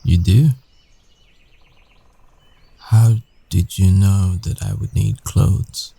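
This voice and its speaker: Casting: male actor as a secretive werewolf ex, low and slow, halting and earnest, intimate audio-roleplay delivery. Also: fairly even intonation, vocal fry, dark tone, slightly rough, heavily acted. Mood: weary, shaken. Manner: halting, hesitant, earnest, with long pauses. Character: guarded werewolf ex-boyfriend, young adult man, shaken, earnest, secretive. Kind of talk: intimate ASMR boyfriend roleplay acting.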